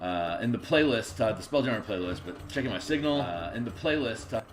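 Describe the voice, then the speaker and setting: Raspy tired man streaming his work. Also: on the low side, animated, fairly quick, faint music behind. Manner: rambling, many fillers, mutters to himself while working. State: tired, just woke up. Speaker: streamer making game art. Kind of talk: casual live-stream chat while working.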